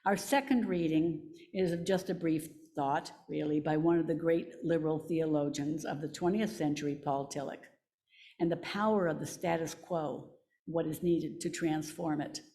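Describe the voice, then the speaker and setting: A woman giving a measured, solemn reading as a lay reader at a church service. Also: low voice, steady tone, dark tone. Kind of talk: scripture and quotation reading.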